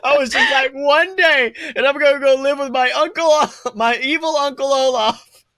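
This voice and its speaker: A man's voice: very high voice, steady tone, normal speed, bright, forceful tone, no room sound, studio quality. A man co-hosting a podcast, bantering casually in an irreverent, slangy, foul-mouthed way. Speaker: podcast co-host.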